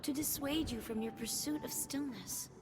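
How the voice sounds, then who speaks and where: A woman voicing a gentle, warm-hearted former goddess queen in a game, speaking softly and tenderly. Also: high-pitched, long reverb tail, lightly acted. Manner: soft, tender, measured, solemn in ritual lines. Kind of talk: game cutscene voice acting. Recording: game audio with music.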